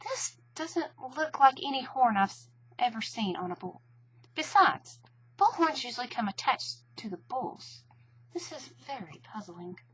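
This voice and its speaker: A woman voicing a gentle, slow-witted male toy bear, polite and earnest, in a storybook reading. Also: on the high side, very animated, highs cut off, a little hiss or hum, pitched above the performer's own voice. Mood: puzzled.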